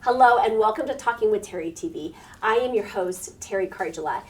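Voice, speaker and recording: Lively-voiced woman talk show host. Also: mid-range voice, fairly quick. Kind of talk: scripted intro, then casual interview.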